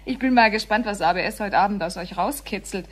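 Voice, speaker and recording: A woman's voice: medium pitch, fairly quick, natural voice. An actress playing a breezy, curious, chatty woman in a radio play, talking colloquially and eagerly. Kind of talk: radio drama acting.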